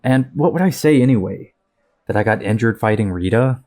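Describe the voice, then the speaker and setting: A man's voice: fairly low pitch, animated, a little fast, a little mellow, lightly acted. A man playing a hesitant, awkward young superhero who is recovering and downcast, in an audio drama.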